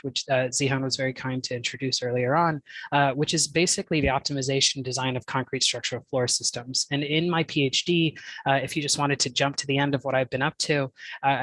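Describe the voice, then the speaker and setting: A young man delivers an academic talk through a computer mic. His voice is bright and forceful. The recording is dry with no room echo, and he speaks earnestly, with plenty of fillers and small restarts. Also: mid-range voice. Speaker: guest speaker, doctoral researcher. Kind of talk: academic conference talk over live stream.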